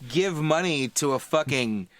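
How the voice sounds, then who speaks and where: A man's voice: on the high side, lively intonation, unhurried. A man co-hosting a podcast in a casual, blunt, opinionated and sweary way. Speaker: podcast co-host. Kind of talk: casual chat.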